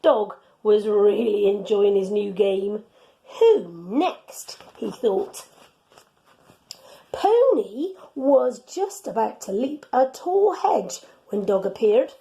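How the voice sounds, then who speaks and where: A woman reading a children's storybook aloud in an expressive, teacherly way. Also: mid-range voice, takes it slowly, vocal fry.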